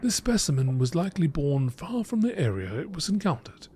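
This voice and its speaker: A man reading game lore entries as scripted nature documentary narration, in a measured, formal, deliberate manner. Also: a little high, big pitch swings, dark tone, a little hiss or hum.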